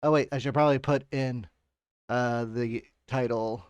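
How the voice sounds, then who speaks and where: A man's voice: medium pitch, fairly even intonation, a little slow, clean tone, no echo. A man chatting casually as a game streamer, rambling and correcting himself in an informal way. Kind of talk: casual watchalong stream chat.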